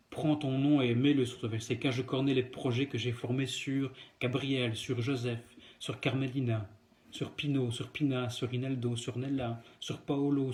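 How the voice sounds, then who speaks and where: A man's voice: mid-range voice, a little mellow. A man delivers a devotional talk, speaking earnestly to the listener and repeating phrases for emphasis. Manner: earnest, emphatic repetition and direct address. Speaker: preacher giving a daily devotional.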